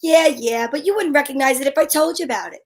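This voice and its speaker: Actress as female gumshoe, high-pitched, sassy and wry, old-time radio style. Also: quick pace, lightly acted. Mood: brash, dismissive. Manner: brash, slangy, wry. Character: sassy, wisecracking female private eye.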